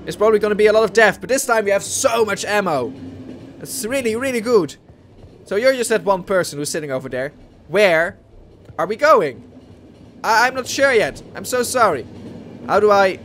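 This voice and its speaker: Dutch-accented male gaming host, higher voice, talks through each move out loud. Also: expressive, normal speed, pauses often, a little hiss or hum. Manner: chatty, thinks aloud, reads game text aloud. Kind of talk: let's play commentary. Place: home room at a desk. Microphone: headset.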